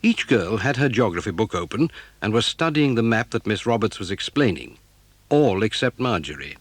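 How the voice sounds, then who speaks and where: A man's voice: lowish voice, big pitch swings, normal speed, no echo. A man reading scripted narration for a children's audio drama, telling the story.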